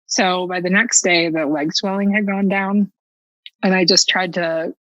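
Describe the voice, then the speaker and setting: Bright-voiced woman, dull lo-fi sound. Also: lowish voice, steady tone, unhurried, no echo. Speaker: podcast guest telling a personal story. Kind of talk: animated casual storytelling.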